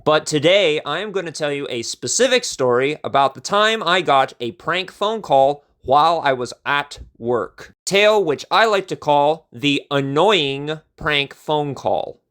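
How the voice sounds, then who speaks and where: A male storyteller with a somewhat higher-pitched voice. He delivers a scripted first-person tale in measured phrases, with a theatrical touch to his narration. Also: animated, fairly bright tone, smooth.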